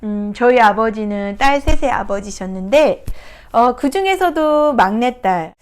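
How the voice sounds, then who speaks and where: A woman counselor speaks in a fairly high voice, telling a story gently and without hurry. She pauses softly now and then, in the calm, reflective tone of a video talk. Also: clean tone. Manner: gentle, unhurried storytelling with soft hesitations. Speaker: counselor and coach. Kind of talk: reflective talk retelling a picture book. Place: recording her first video talk.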